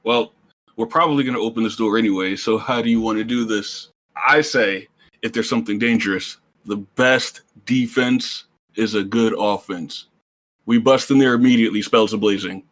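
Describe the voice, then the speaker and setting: A man's voice: lowish voice, steady tone, normal speed, a bit bright. A man talking casually as a player in a tabletop role-playing game.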